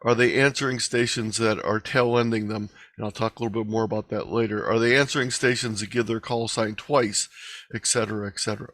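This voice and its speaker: A man presenting an instructional webinar lecture with slides, explaining in a measured, deliberate way over a video call microphone. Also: on the low side, steady tone, fairly bright tone, no room sound.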